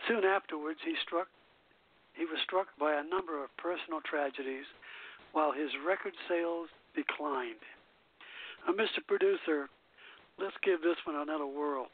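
A man's voice: fairly high pitch, moderate pace. A man hosting an internet radio show, reading notes on music and airline history with small stumbles and restarts. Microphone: call-in internet radio line. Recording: tinny phone-line audio.

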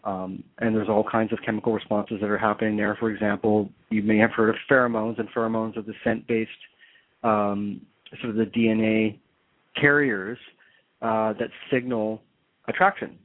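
A man's voice: lowish voice, level tone, no echo. Man explaining over a tinny line. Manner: halting, restarts often, explanatory. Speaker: radio talk show host. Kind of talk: explaining psychology on call-in radio. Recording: tinny phone-line sound.

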